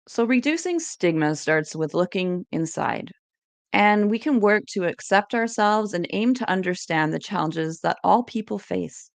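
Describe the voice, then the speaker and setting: A woman's voice: lowish voice, lively intonation, unhurried, creaky, dry recording. A woman giving an educational presentation, reading encouraging tips in a scripted, list-like way.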